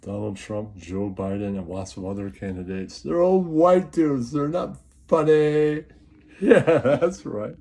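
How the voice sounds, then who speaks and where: A man hosting a comedy show in a slow, relaxed voice. He rambles in a crude, mocking way and circles back to the same catchphrases. His tone stays mellow and mid-pitched. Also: creaky. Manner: crude, mocking, repetitive, laughs at own jokes. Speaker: comic host of an online show. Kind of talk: rambling comic monologue with repeated catchphrases.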